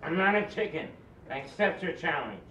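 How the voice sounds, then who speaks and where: Man playing high-pitched showy rival magician, far off. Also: big pitch swings, normal speed, a bit bright, a little hiss or hum. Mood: haughty challenge. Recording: distant echoey muffled camera audio.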